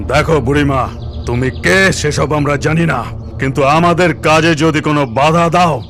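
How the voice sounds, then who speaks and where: A man's voice: a little high, fairly even intonation, normal speed, fairly bright tone, a little hiss or hum, natural voice. A man voicing a bandit chief in a cartoon, a dramatic villain with emphatic delivery. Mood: menacing. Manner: threatening, emphatic. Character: menacing, gloating male bandit chief in jungle.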